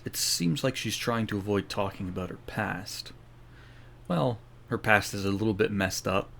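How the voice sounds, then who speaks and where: A man's voice: fairly low pitch, normal speed, creaky, a bit bright, a little hiss or hum. A man giving casual playthrough commentary as a gameplay streamer, with wry muttered asides.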